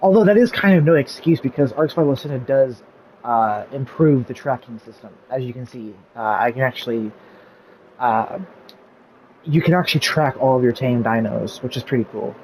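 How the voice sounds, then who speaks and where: A man's voice: mid-range voice, normal speed, darkish tone, slight background noise, low-quality recording. A man narrating a game tutorial over gameplay, rambling with lots of fillers, and he says he is losing his voice. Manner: rambling, filler-heavy, easily sidetracked. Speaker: gaming YouTuber. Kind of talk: casual gameplay tutorial commentary.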